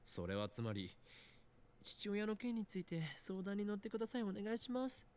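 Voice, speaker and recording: A man's voice: sits high, huge pitch swings, rapid-fire. An actor playing a pompous, theatrical young man who teases, in a visual novel. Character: pompous, theatrical, teasing young self-styled boss. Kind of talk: Japanese visual novel voice acting.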